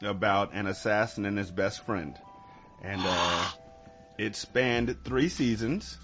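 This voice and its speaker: A man's voice: lowish voice, takes it slowly, fairly bright tone, lo-fi sound, faint music behind. A man hosting a podcast in a casual, conversational style. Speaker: podcast host. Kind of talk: casual chat.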